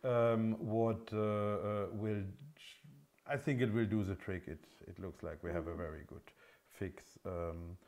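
A man in his fifties with a continental European accent, a non-native English speaker explaining things off the cuff in a halting, hesitant way as a workshop presenter. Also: fairly low pitch, steady tone, darkish tone. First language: not English. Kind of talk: unscripted product explanation. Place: indoor engine workshop showroom.

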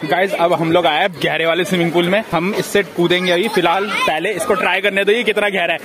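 A young man chatting casually and teasingly in slang as an excitable vlogger, recorded on a handheld phone. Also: high-pitched, fast. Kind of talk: casual vlog chat. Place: outdoor public swimming pool. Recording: noisy, crowded outdoor pool. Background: crowd, splashing, outdoor noise.

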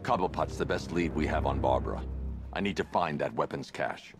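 A grim masked vigilante with an extremely low, gravelly voice. He is stoic, terse and commanding, a video game hero speaking over cinematic music. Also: lively intonation, fairly quick. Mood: stern, controlled. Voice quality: deep, gravelly.

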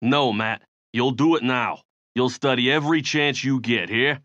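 A man plays a weary prizefighter father in a comic-book audio drama. The mid-pitched male voice is stern, firm and earnest, on a dry, dull recording. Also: normal speed, a bit bright, dry recording, natural voice.

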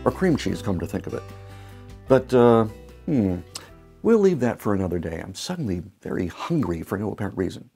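Playful man in his fifties, lower voice. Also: brisk, vocal fry, breathy edge, faint music behind. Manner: playful, lively, gently joking. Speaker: marketing coach and show host. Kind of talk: scripted talk to camera. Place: bright studio set. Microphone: lapel mic.

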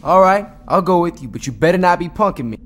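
Fast-talking American slacker teen. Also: male voice, sits high, lively intonation, a bit bright. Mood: skeptical. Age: young adult. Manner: laid-back street-slang delivery. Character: slangy, skeptical teenage slacker hustler boy. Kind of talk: video game cutscene acting. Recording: faint noise behind dialogue.

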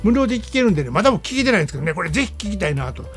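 A man's voice: sits high, sing-song, racing, fairly bright tone, faint music behind. A man with a Kansai accent hosting a radio show, chatting casually and emphatically as he reviews films in a monologue. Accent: Kansai Japanese. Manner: chatty, emphatic, peppering phrases with "ne".

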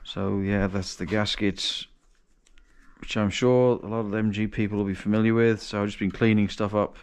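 A man's voice: lowish voice, fairly even intonation, moderate pace, clean tone. A man casually talking through an engine rebuild as he works, thinking aloud and correcting himself. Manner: thinking aloud while working, with restarts and self-corrections. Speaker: home mechanic vlogger. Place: large cluttered garage workshop, indoors. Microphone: chest-mounted action camera.

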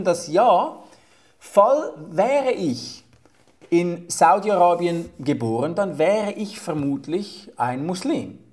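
A man's voice: sits high, darkish tone. A young man, explaining his reasoning as a podcast guest, often restarting and searching for words.

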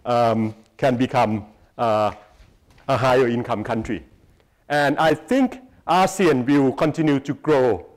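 Guest lecturer: middle-aged man, Thai accent, medium pitch, pausing mid-phrase as he presents. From Thailand. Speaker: guest speaker, research institute president. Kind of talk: public lecture with slides. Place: lecture hall, on stage before seated audience.

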